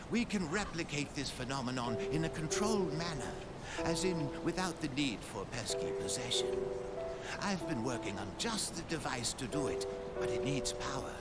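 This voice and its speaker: Man acting eccentric male scientist, highish animated voice, chatty, eager, video game dialogue, loud music behind. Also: natural voice. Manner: chatty, rambling, excitable.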